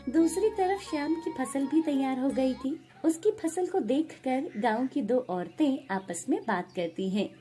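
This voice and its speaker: A woman narrates an animated moral story from a script. Her voice is on the higher side, and music plays underneath. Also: measured pace, few pauses.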